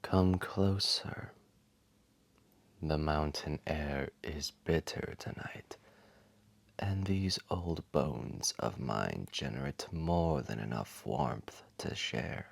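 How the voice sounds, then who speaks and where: A man voicing an ancient, wise and weary dragon, telling a story intimately and grandly in a deep, breathy, gravelly voice with dramatic pauses. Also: no echo, heavily acted. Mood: wry, inviting. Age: old. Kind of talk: slow intimate storytelling audio roleplay.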